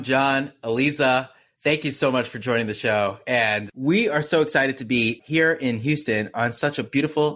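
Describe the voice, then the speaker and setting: A man's voice: mid-range voice, a bit bright, dry recording. A man co-hosting a podcast, speaking enthusiastically as he welcomes guests and makes announcements. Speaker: podcast co-host. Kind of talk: scripted podcast host talk.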